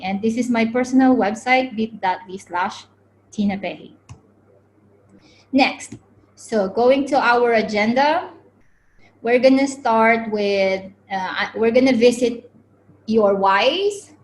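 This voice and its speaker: A woman's voice: a little high, slight background noise, poor audio. A woman from the Philippines in her forties, leading an online workshop for teachers over a video call in an upbeat way, often saying "okay". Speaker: webinar presenter.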